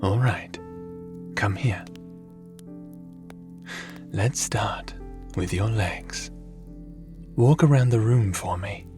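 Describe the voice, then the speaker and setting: Man acting a low-voiced, genial seasoned adventurer. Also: extremely slow, long pauses. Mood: gentle, attentive. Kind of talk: ASMR audio roleplay acting. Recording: music under the voice.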